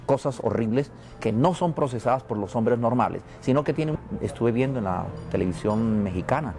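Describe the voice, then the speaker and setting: A man's voice: mid-range voice, animated, a little fast. A man from Venezuela, interviewed about prophecy, speaking in a solemn, repetitive, preaching cadence. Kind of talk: spiritual and prophetic talk.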